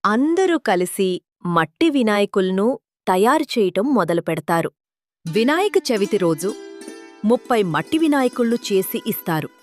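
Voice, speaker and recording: A woman's voice: mid-range voice, sing-song, moderate pace, no room sound. A woman narrating a scripted moral story. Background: background music.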